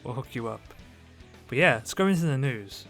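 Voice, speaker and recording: A man's voice: mid-range voice, expressive, moderate pace, fairly bright tone. A man hosting a podcast in casual chat, joking in a mock-earnest, sweary way. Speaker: podcast host. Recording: music playing behind the voice.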